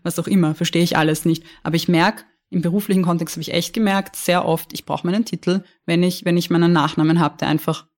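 Candid young woman, halting speech. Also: lowish voice, fairly even intonation, a little mellow. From Austria. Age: young adult. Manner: candid, many restarts and repeated words. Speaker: guest, lawyer. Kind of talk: casual interview conversation.

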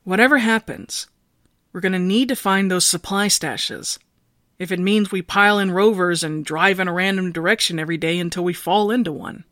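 Calm, persuasive female plotter, played by an actress. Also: fairly low pitch, normal speed, no echo, clean studio sound, close to an everyday voice. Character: calm, persuasive revolutionary plotter pressing an ally to wait. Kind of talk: naturalistic audio drama acting.

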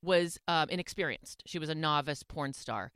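Lowish-voiced woman in casual radio chat. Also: moderate pace, fairly bright tone, clean tone, dry recording, clean studio sound. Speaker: talk show co-host. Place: radio studio. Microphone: close studio microphone.

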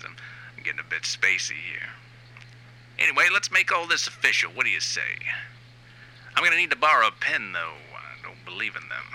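A man's voice: on the low side, gravelly, pushed and bright, exaggerated voice, rough recording. A man playing a self-important, sleazy new wave band frontman in a rambling, conversational comic monologue. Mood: musing, rambling. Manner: rambling, smug, halting asides. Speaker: writer and reader of a comic fiction series.

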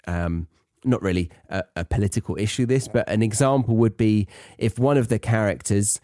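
British male podcast teacher, soft dark tone, dry sound, conversational and thoughtful delivery. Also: fairly low pitch, normal speed, no room sound. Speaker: podcast host and English teacher. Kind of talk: conversational educational podcast talk.

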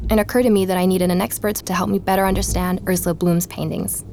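A woman's voice: lowish voice, steady tone, quick pace, a little mellow, slight background noise, natural voice. An actress playing a curious, candid woman podcaster, narrating an audio drama like a conversational, reflective diary. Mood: explanatory. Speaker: narrator and interviewer.

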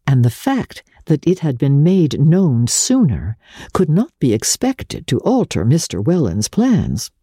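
A woman giving a dramatic reading of literary fiction as an audiobook narrator, with measured, expressive and deliberate phrasing. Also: low-pitched, breathy edge, no echo, studio quality.

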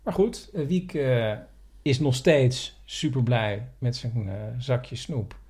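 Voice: medium pitch, expressive, slow, a little mellow, slightly rough. A podcast host, chatting casually on location.

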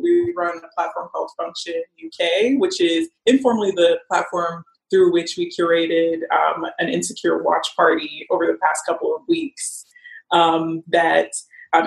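A woman's voice: on the low side, fairly even intonation, normal speed, gravelly, roomy sound, rough recording. A woman from Nigeria, a curator and writer, chatting as a podcast guest in a friendly way. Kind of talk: casual podcast chat.

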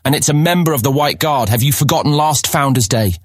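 A man's voice: medium pitch, brisk, no echo, clean studio sound, soft background music, close to an everyday voice. An actor playing a mischievous boy, a sly ringleader who coaxes and goads in a fantasy audiobook drama. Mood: goading, teasing. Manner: persuasive, egging on. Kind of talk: full-cast fantasy audiobook acting.

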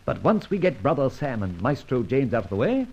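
An actor playing a debonair, flippant gentleman adventurer in an old radio drama, self-assured, with urbane, wordy phrasing. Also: mid-range voice, very animated, brisk, breathy edge. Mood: smug, playful. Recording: muffled old radio transcription recording.

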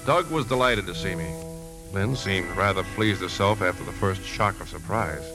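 A man playing a brooding, secretly scheming leading man in a 1950s radio drama, speaking in a measured, confiding way. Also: sits low, lively intonation, poor audio. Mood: brooding, tense. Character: brooding man secretly plotting to poison a woman. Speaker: lead actor and narrator. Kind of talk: 1950s radio drama, first-person narration and dialogue. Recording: vintage 1950s radio with music.

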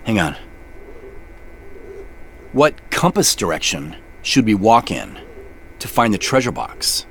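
A man's voice: fairly low pitch, sing-song, takes it slowly, pauses often, slight background noise, exaggerated voice. An actor playing an earnest, puzzled man in an audio drama, hesitant and thinking aloud in natural dialogue. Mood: puzzled, frustrated. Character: earnest, puzzled man searching woods at night for treasure.

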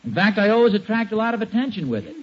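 Bumbling husband, higher-pitched voice. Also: male voice, big pitch swings, rapid-fire. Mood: defensive. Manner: hesitant, protesting. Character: bumbling, defensive, mildly envious suburban husband. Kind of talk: 1940s radio sitcom acting. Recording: dull, faintly noisy vintage radio recording.

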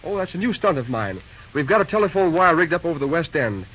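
A man playing a brisk, busy railroad dispatcher in an old-time radio drama, talking in clipped, folksy railroad slang. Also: fairly high pitch, very animated. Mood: friendly. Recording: muffled, noisy old radio recording.

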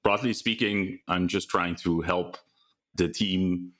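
A man with a Dutch accent, a research lead talking casually as a podcast guest, relaxed and thoughtful with small restarts. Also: low-pitched, slow pace. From Netherlands.

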